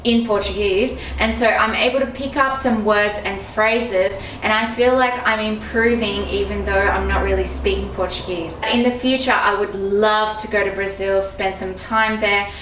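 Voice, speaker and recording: A young woman from Australia, talking casually to camera as a vlogger and English teacher, in a friendly, steady way. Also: mid-range voice, normal speed, few pauses, echoey room, far from the mic, a little hiss or hum, poor audio.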